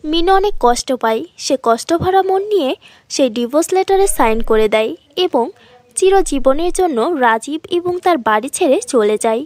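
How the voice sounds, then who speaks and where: High-pitched woman storyteller. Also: animated, normal speed, a little mellow, dry recording. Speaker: storyteller, narrator. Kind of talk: scripted cartoon fairy-tale narration.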